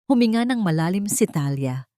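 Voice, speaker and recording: A woman's voice: mid-range voice, expressive, moderate pace, dark tone, no room sound, studio quality. A woman with a Filipino accent reads a sentimental story as a dramatic audiobook narrator, in a solemn, earnest manner.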